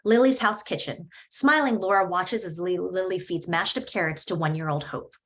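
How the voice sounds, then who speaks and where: A woman reading screenplay stage directions at a table read in a steady, descriptive way. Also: lowish voice, normal speed.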